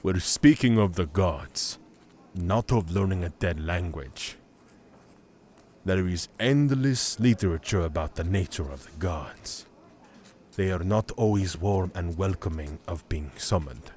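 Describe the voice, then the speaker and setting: Man acting a deep-voiced haughty cleric. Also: lively intonation, measured pace, darkish tone, slight background noise. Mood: proud, composed. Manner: formal, self-assured, matter-of-fact. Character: haughty, rigid male cleric of the order god. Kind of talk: fantasy audio drama acting.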